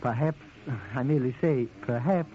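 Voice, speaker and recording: Actor as a florid Italian peddler, muffled old radio. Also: male voice, mid-range voice, moderate pace, close to an everyday voice. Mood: cagey, mercenary. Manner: florid, theatrical, dramatic phrasing. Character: wily, money-hungry Italian male peddler and informant. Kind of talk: 1940s radio drama acting. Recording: muffled old radio broadcast with crowd noise.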